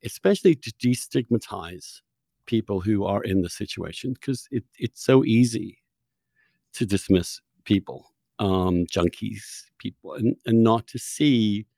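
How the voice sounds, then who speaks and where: Guy hosting a podcast chat, lower voice, super dry sound, thoughtful but makes his points firmly. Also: measured pace, gravelly, dark tone, dry recording. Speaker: podcast host. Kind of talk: conversational interview and debate.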